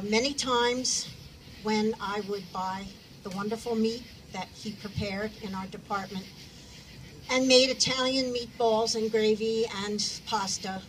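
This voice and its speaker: A woman speaks at a memorial gathering, telling her stories in a measured, unhurried way. She is picked up from some distance, and clear background noise surrounds her voice. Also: medium pitch. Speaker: deli worker speaking at a memorial. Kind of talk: memorial remarks. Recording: distant mic, noisy room.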